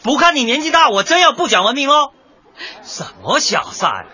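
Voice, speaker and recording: Cocky young dj in a comedy radio play, high sharp voice, swings all over, argues and lectures. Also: male voice, very animated, fairly quick, highs cut off, faint music behind, exaggerated voice. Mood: indignant, lecturing. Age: young adult. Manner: brash, glib, protesting, lecturing. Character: cocky, argumentative young dyed-hair male DJ. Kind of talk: light comedy radio drama acting.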